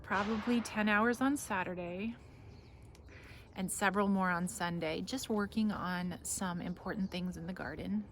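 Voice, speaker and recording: A woman with a mid-pitched voice chats casually as she hosts a garden vlog. She rambles with gushing enthusiasm. She is recorded outdoors on a handheld device, with light ambient noise behind her. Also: steady tone, measured pace, fairly bright tone.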